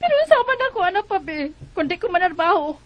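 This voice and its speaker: Resolute young single mom, high voice, Cebuano accent, earnest, expressive, radio drama. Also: female voice, fast talker, dry recording, highs cut off. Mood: tearful, resolute. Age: young adult. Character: determined young single mother striving through heartbreak. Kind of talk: Bisaya radio drama acting.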